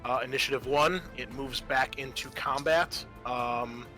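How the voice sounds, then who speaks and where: Man, bright forceful voice, game master, tabletop narration, halting and improvised, lots of filler words. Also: medium pitch, steady tone, faint music behind, low-quality recording.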